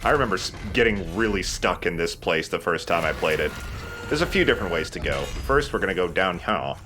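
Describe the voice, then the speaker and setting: A man's voice: low-pitched, animated, brisk, over music. A man giving casual, joking, chatty commentary while playing a video game. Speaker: let's play host playing the game.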